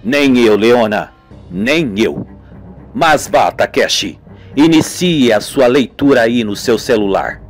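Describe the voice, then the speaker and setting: A man's voice: mid-range voice, lively intonation, slow, voice pushed down. A man voicing an earnest, weary police inspector in an amateur radio soap, over music. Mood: resigned. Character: weary, earnest male police inspector recounting grim cases.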